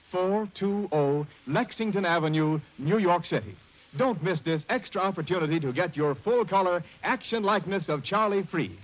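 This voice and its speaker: A man announcing a 1930s radio advertisement in an emphatic, salesmanlike way. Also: sits high. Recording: dull vintage radio recording.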